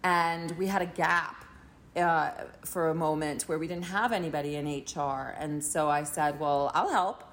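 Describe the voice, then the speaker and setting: A woman in her forties from Trinidad, chatting as a podcast guest, thoughtful with many pauses. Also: sits low, a bit bright. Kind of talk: casual interview chat.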